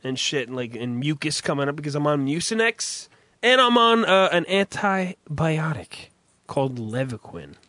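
A man hosting a crude comedy podcast, raspy from a recent cough, cursing and rambling through stories. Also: a little high, very animated, normal speed, pushed and bright, dry recording. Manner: profane, rambling storyteller. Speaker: podcast host.

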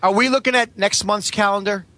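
A man chatting casually in a halting, insistent way, repeating himself and sometimes swearing. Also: sits high, fairly even intonation, pushed and bright, no echo. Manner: halting, repetitive, insistent, profane. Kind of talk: casual chat.

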